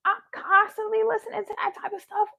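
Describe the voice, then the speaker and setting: A young woman hosting a motivational livestream, earnest and goofy, laughing often, her voice going hoarse. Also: extremely high pitch, flat delivery, fast, bright, forceful tone. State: losing her voice. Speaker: livestream host. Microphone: phone or webcam by a ring light.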